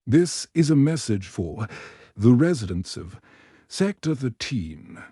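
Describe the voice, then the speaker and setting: A man's voice: medium pitch, very animated, slow, mellow, husky, no room sound, studio quality, exaggerated voice. A man reading an eerie robotic broadcast voice. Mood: ominous. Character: distorted robotic emergency broadcast voice.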